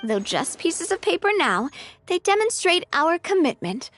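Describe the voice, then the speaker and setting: A cheerful young woman leader in a video game. Her voice is high and very lively, and she speaks in a breezy way with easy laughs. Faint music plays behind her. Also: moderate pace, no echo, exaggerated voice. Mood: earnest. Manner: bright, breezy, laughs often.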